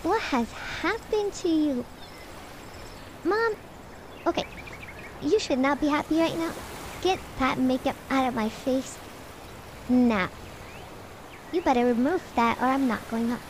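A woman playing the stubborn, dramatic little daughter in a homemade cartoon family roleplay video, very shrill and slow, faint noise behind her. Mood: indignant. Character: sassy, stubborn young daughter who loves makeup. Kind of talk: amateur voiced family roleplay.

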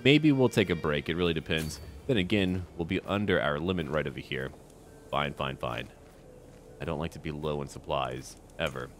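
Low-pitched man narrating strategy gameplay. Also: a bit bright, a little hiss or hum. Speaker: gaming video host. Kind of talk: roleplay strategy game commentary.

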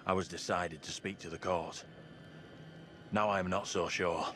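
Game role: solemn, God-fearing young colonial man, low pitch, archaic formal diction, music underneath. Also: fairly even intonation, normal speed, a bit bright. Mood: solemn, wary. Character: grave, devout young colonial villager, torn and fearful. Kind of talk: video game cutscene drama.

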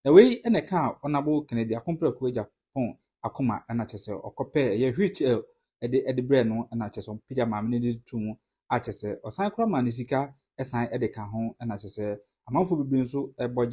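A Ghanaian man, a native Twi speaker, comments earnestly to his online audience, addressing them like family. His voice is husky and a touch breathy, with a mellow, dark tone. He leans on repetition to drive his points home. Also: mid-range voice. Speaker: political commentary vlogger. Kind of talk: casual commentary to followers.

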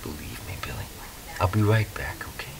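An actor playing a gentle, quietly resolute young father, soft-spoken in a naturalistic audio drama. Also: fairly low pitch, a little fast, whispered, close to an everyday voice. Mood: tender, reassuring. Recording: noisy ambience behind.

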